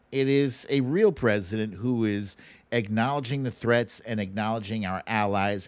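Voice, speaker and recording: A man's voice: medium pitch, dry recording. A middle-aged American man hosting a political livestream, talking casually in an informal, slangy, plain-spoken way and chatting with viewers. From United States. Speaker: host of a political commentary livestream. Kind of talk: casual livestream commentary, taking viewer questions.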